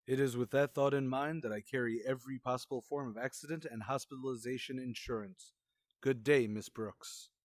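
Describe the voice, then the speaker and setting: A man's voice: medium pitch, fairly even intonation, brisk, dry recording, lightly acted. An actor playing a grumpy, sarcastic older male school principal in a radio comedy, speaking in a dry, withering, pompous way. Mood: grumbling, scornful. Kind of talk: old-time radio comedy acting.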